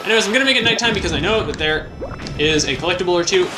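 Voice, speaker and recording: A man's voice: sits high, lively intonation, very fast, noisy background. A young man casually commenting on his video game playthrough, chatty and self-deprecating, with bouncy exclamations. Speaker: let's play host. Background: game music.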